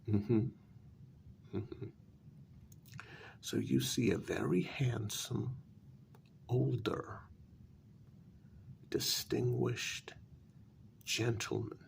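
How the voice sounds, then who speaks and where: A man playing an older, vain, sarcastic gentleman vampire in an ASMR role-play, speaking softly and breathily and dropping into whispers. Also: medium pitch, vocal fry, a little hiss or hum, full character voice. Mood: smug, vain. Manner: slow, deliberate, dryly condescending.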